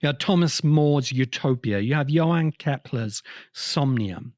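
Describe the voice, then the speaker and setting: A man hosting a podcast, explaining literary history in a deliberate, emphatic way with pauses between phrases. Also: medium pitch, expressive, darkish tone, no echo, studio quality. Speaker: podcast host.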